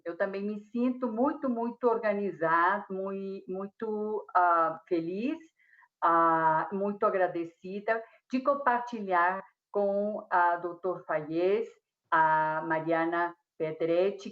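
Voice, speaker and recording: A Mexican woman, a speech therapist, speaking Portuguese with a Mexican Spanish accent, halting with many fillers and restarts on a video call. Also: mid-range voice, lo-fi sound. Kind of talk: hesitant conference talk. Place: room at home.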